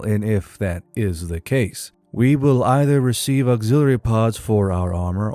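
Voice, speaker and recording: A man playing a calm, seasoned space-infantry platoon sergeant giving a steady, matter-of-fact briefing. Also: mid-range voice, dry recording, close to an everyday voice.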